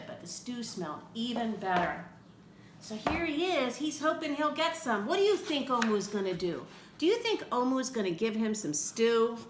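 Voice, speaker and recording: A woman reading a picture book aloud to children in an expressive way, with chatty asides to her young listeners. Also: on the high side. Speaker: read-aloud storyteller for children. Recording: roomy echo with faint background noise.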